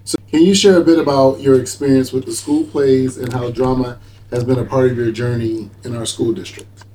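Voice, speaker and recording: A man's voice: medium pitch, fairly even intonation, a little fast, mellow, a little hiss or hum. A middle-aged man hosting a conversational interview, encouraging and echoing back what his guests say. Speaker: talk show host, school superintendent.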